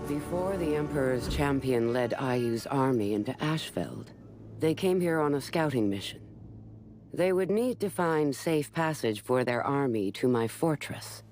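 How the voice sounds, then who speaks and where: A man voices a brooding warlord in a game cutscene. He speaks slowly and heavily, with a lively, rolling pitch. Soft music plays underneath. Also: close to an everyday voice. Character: grim male warlord defending his fortress. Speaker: fortress lord narrating. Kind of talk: video game cutscene voice acting.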